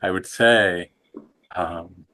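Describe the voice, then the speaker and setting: A middle-aged man speaking slowly and softly in a reflective, contemplative conversation, his voice trailing off at the ends of phrases. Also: deep voice. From United States. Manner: slow, soft and contemplative, trailing off quietly. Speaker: guest teacher.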